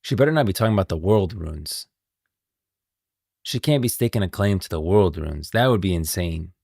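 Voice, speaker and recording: A man's voice: low voice, expressive, moderate pace, gravelly, a little mellow, no room sound, clean studio sound. A man streaming casual game lore commentary, thinking aloud in an informal way. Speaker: live streamer.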